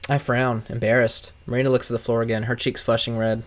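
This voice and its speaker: A man's voice: medium pitch, level tone, quick pace. A man reading a visual novel aloud as scripted narration. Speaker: gaming streamer. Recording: clear music playing behind.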